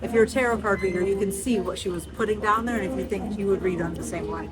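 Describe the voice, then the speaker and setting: A woman's voice: mid-range voice, quick pace, over loud music. A woman chatting casually as a travel vlogger. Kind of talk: casual vlog chat.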